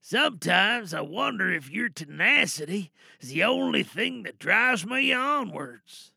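A man acts out a gloating, lovestruck supervillain for an audio drama. The voice is high and piercingly bright, very close and dry. Every phrase is slow, theatrical and savored. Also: big pitch swings, gravelly, slightly rough, dry recording, full character voice. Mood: taunting, obsessed. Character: flamboyant, gloating supervillain, secretly smitten with captive hero.

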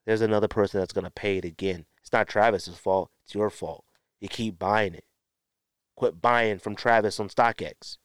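Low-voiced man ranting on a podcast. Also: fairly even intonation, normal speed, clean tone, no echo, clean studio sound. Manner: exasperated, blunt, emphatic with rhetorical questions. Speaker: podcast host. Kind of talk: opinionated solo podcast rant.

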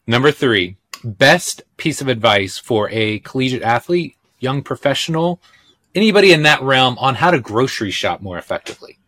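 Guy hosting a podcast, bright forceful voice, easygoing, says yeah a lot. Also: mid-range voice, animated, normal speed. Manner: easygoing, frequent agreeing "yeah". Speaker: podcast host. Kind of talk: casual podcast interview.

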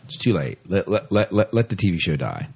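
A man's voice: low-pitched, sing-song, fairly quick, creaky, a little mellow, breathy edge. A man co-hosting a fan podcast in casual chat, often repeating phrases for emphasis. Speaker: podcast co-host.

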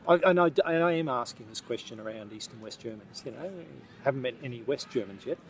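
A man hosting a podcast interview, chatty and encouraging, often chiming in with quick agreement. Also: medium pitch, slight background noise. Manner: chatty, encouraging, frequent yeahs. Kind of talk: casual interview.

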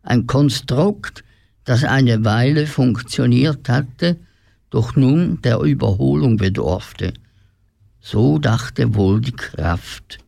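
A man's voice: mid-range voice, animated, slow pace, creaky, a little mellow, no echo, clean studio sound. A man narrating a scripted radio drama as its storyteller.

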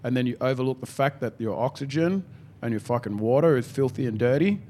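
A man's voice: medium pitch, fast, mellow, a little hiss or hum. Laid-back man who swears freely. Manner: casual, swears freely, says bro. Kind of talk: casual podcast chat.

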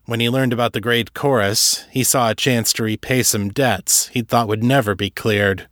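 A man voicing a gruff, cagey disembodied ex-commander in an audiobook, with measured, wry, folksy speech. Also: mid-range voice, steady tone, fairly bright tone, no echo, studio quality, close to an everyday voice. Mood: explaining.

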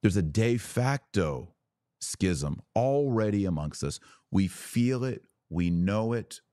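A man's voice: fairly low pitch, lively intonation, takes it slowly, mellow, no room sound, studio quality. A man hosting a podcast, delivering a fervent religious monologue in an emphatic, exhorting manner with rhetorical questions and repetition. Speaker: podcast host.

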